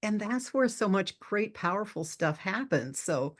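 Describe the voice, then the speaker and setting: A woman's voice: mid-range voice, lively intonation, unhurried. An older woman who worked as a nurse for decades, talking earnestly on a podcast with many restarts. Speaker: guest, retired nurse. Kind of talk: podcast interview.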